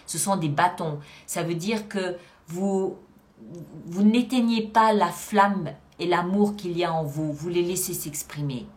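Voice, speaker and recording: A woman's voice: lowish voice, unhurried. A woman hosting a tarot reading, explaining the cards to viewers in an emphatic way, often repeating phrases to drive a point home. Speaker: tarot reader and channel host. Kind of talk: spoken tarot card reading addressed to viewers.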